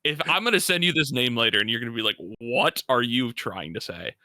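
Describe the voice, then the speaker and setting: A man's voice: medium pitch, expressive, brisk, pushed and bright, no room sound, clean studio sound. A man co-hosting a casual sports podcast, giving emphatic, opinionated analysis in slangy everyday language. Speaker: podcast co-host.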